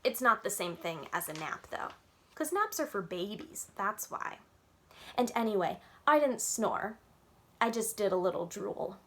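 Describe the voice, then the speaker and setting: A woman storyteller reads a children's book aloud in a medium-pitched voice. She narrates in the first person with childlike, emphatic expression. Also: big pitch swings, unhurried.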